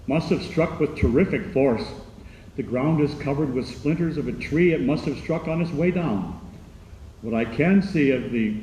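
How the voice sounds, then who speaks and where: A man's voice: a little high, a little mellow. A man playing an earnest radio commentator, reporting formally in an old-time radio drama news style. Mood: excited, awed. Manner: formal, deliberate announcing. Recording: echoey radio drama with background noise.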